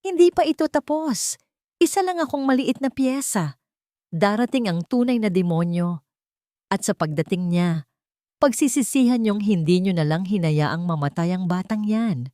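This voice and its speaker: A woman voicing a bitter, vengeful elderly nanny in a story, shrill and venomous. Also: expressive, moderate pace, dark tone, dry recording, studio quality, close to an everyday voice. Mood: menacing.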